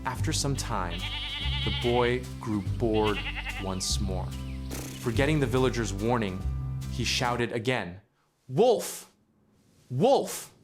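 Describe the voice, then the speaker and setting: A man reading a scripted children's story in a measured storytelling manner. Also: fairly low pitch, over music. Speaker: narrator.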